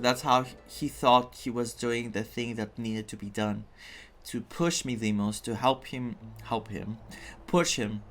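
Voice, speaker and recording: A man's voice: mid-range voice, a bit bright. A man giving casual, reflective reaction commentary as a game streamer, earnest and emphatic.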